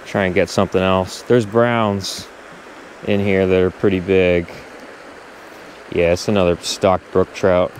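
A man filming himself fishing outdoors, chatting casually to the camera and muttering to himself with sudden excited bursts. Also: low voice, unhurried, a little hiss or hum, low-quality recording. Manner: relaxed, mutters to himself, excited bursts when a fish bites. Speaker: angler filming his fishing trip. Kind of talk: casual self-narrated fishing vlog. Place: outdoors by a brook from a small boat.

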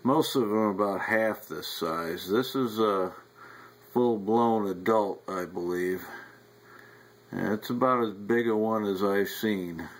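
A man's voice: lowish voice, low-quality recording. A man from upstate New York talking casually and folksily while filming in his cool basement on a handheld camera. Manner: folksy, unhurried, with frequent fillers. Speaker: home videographer. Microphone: handheld camera mic.

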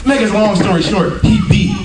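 An American man in his thirties performing animated, colloquial stand-up storytelling for a church audience. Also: high voice, normal speed. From United States. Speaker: stand-up comedian. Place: indoors in a church sanctuary. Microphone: handheld mic through speakers, picked up by far camera. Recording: echoey church hall, distant camera, loud audience. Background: loud laughing audience.

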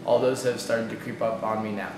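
A man's voice: fairly low pitch, little pitch movement, a little fast, reverberant, recorded from a distance, background noise. A man in his twenties, an exchange student, giving candid, emphatic interview answers indoors. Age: 20s.

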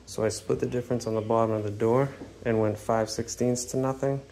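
A man casually talking through a carpentry build as he works, chatty and relaxed. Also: mid-range voice, brisk, slight background noise. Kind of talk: casual build vlog narration. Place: workshop and home interior.

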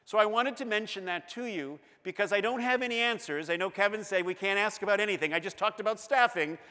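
Bright-voiced man giving an earnest keynote. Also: high voice, long reverb tail. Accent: Canadian English. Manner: earnest, emphatic, gestures while pacing the stage. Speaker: school board director giving a keynote. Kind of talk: conference keynote speech. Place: large conference hall. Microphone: lapel mic.

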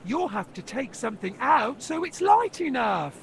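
A woman's voice: sits high, very animated, moderate pace, vocal fry, noisy background, full character voice. A woman voicing a chirpy cartoon rabbit working at an airport, cheerfully officious, with a British accent. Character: brisk, chirpy adult female rabbit airport worker. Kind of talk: children's cartoon acting. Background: music.

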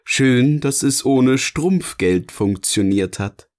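Ein Mann spielt in einem Hörspiel einen eloquenten, sardonischen Erzähler, der bedächtig und theatralisch philosophiert. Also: male voice, medium pitch, expressive, takes it slowly, a little mellow. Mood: musing, relieved. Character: eloquent, sardonic male narrator finally free to speak. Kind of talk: scripted audio drama dialogue, philosophical musing.

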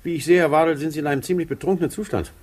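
An actor playing a bureaucratic police inspector in a 1950s radio drama, polite but firm and slightly husky. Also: male voice, fairly high pitch, fairly even intonation, rapid-fire, a little mellow, natural voice.

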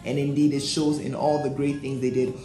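A man's voice: medium pitch, fairly quick, echoey room, soft background music. A young man hosting a church history video, speaking energetically and asking the viewer questions. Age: young adult. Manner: animated, gestures a lot, asks the viewer questions. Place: small indoor room, plain wall.

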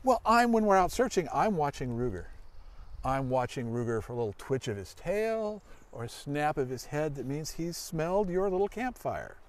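A man's voice: fairly high pitch, big pitch swings, moderate pace. A middle-aged man giving a casual, folksy demonstration for children as a dog handler, explaining and asking questions. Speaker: search dog handler and guest presenter. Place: outdoors in a grassy forest clearing.

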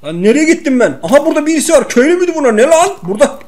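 A man's voice: very high voice, very animated, rapid-fire, darkish tone. A man playing a video game and chatting in casual slang with a co-player, exclaiming and repeating short phrases.

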